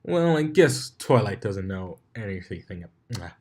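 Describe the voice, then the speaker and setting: A man voicing a wry young male pony who talks in a dry, deadpan way. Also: lowish voice, unhurried.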